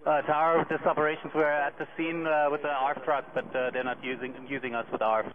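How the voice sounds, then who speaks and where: A man's voice: fairly high pitch, flat delivery, fast. A man working in airport operations, giving informal reports over two-way radio. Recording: tinny radio with outdoor vehicle noise.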